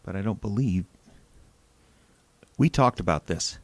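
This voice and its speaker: Casting: middle-aged American husband, secret drinker, bitter and defensive, sarcastic formality, very lively intonation, dry close sound, audio drama. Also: male voice, fairly low pitch, a little slow, no room sound. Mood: wounded, pleading. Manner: sarcastic, overly proper when tipsy. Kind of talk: naturalistic audio drama acting.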